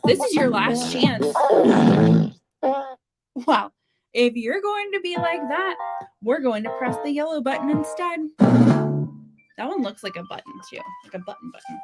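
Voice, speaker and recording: A woman's voice: high-pitched, lively intonation, a little slow, pauses often, over loud music. A woman reads a children's picture book aloud in a playful, theatrical, interactive style, full of dramatic exclamations.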